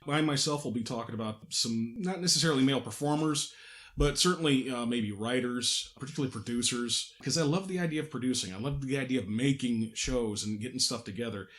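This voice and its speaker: A man hosting a podcast in casual conversation, explaining things haltingly and often correcting himself. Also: mid-range voice, a bit bright. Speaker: podcast host, audio drama producer. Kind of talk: casual behind-the-scenes podcast chat.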